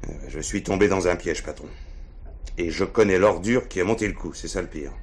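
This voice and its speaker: A man playing a blunt, low-voiced police inspector. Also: brisk, close to an everyday voice. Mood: anxious, bitter. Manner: colloquial, blunt, agitated. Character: embattled, blunt male police inspector, loyal to his boss. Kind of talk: French radio play acting.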